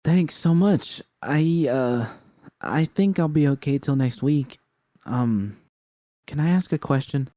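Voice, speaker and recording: A man voicing a nervous, timid young woman in a story reading, speaking hesitantly with halting fillers. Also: on the high side, animated, dark tone, no echo, studio quality, pitched above the performer's own voice.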